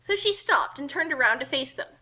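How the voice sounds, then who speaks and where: A woman reading a comic fan-fiction story aloud in animated narration, with casual asides. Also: high-pitched, fairly quick.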